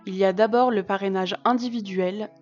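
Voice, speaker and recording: Female narrator, mid-pitched voice. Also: steady tone, a little fast, a little mellow. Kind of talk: scripted promotional narration. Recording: slightly dull sound, music behind. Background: music.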